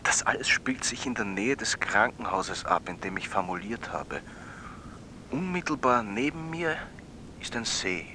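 A man's voice: fairly low pitch, expressive, unhurried, noisy background. A male actor playing an earnest, insistent young medical student with a Viennese accent, telling a story in a literal way. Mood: earnest storytelling. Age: young adult. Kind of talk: radio drama acting, dream storytelling.

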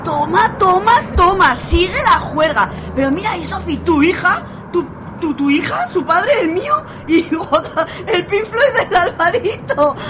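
A woman's voice: extremely high pitch, sing-song, a little slow, few pauses, background noise, heavily acted. A woman playing a rowdy, brazen, tipsy party girl in a comic radio play, exclamatory and boisterous. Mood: tipsy, gleeful.

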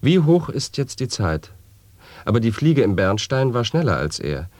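A man performing a solemn monologist in an avant-garde radio play, reciting absurd wordplay in a measured, declamatory way. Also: medium pitch, sing-song, a little mellow, dry recording, close to an everyday voice. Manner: deliberate, measured, declamatory delivery.

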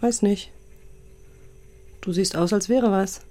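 Ein Mann spielt eine sanfte, langsame Ehefrau. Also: male voice, sits high, slow, dark tone, voice pushed up. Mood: wry, probing. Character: calm, wry wife at home.

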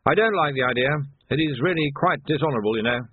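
Man playing a high, plaintive older gentlemanly captain. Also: expressive, quick pace, breathy edge, no echo, narrow, tinny audio. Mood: uneasy. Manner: hesitant, plaintive, genteel. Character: mournful, hesitant, gentlemanly older police captain. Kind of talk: audiobook character acting.

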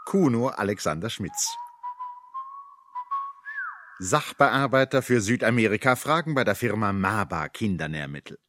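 Low-voiced, wry male narrator. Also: expressive, a little slow, pauses often, a bit bright, a little hiss or hum. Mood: wry, musing. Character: wry, ironic male storyteller addressing the hero. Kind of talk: scripted satirical radio drama narration.

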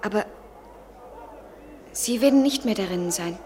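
A woman's voice: mid-range voice, lively intonation, a little slow, mellow, natural voice. A woman playing an eager, dreamy student in her twenties in a poetic, theatrical German radio play style. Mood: eager, wistful. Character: Jennifer, eager, dreamy 23-year-old American student in love.

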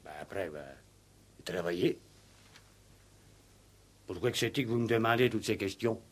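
An actor playing a simple, stubborn village farmhand in a radio play, defensive and blunt, with hesitant, ungrammatical country speech. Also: male voice, on the low side, lively intonation, normal speed. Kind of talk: 1960s French radio play acting.

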